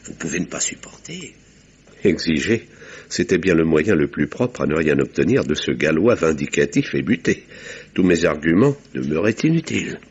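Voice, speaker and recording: A man's voice: very deep voice, slightly rough, highs cut off, exaggerated voice. A man acting a grave, formal village doctor who insists and narrates in a theatrical 1950s French radio mystery style. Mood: concerned, insistent. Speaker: actor and first-person narrator. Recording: noisy vintage radio recording.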